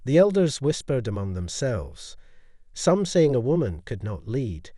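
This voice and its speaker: A male storyteller reads a bedtime folktale with a lively, swooping melody. His tone is mellow and soft-edged, and his delivery is expressive and dramatic. The sound is dry and close. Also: mid-range voice, measured pace, no echo. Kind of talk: scripted bedtime folktale narration.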